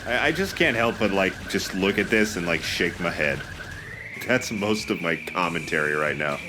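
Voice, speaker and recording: A man making deadpan, sarcastic casual remarks as a game commentator. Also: low voice, normal speed, music in the background. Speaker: let's play commentator. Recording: loud game sound behind voice.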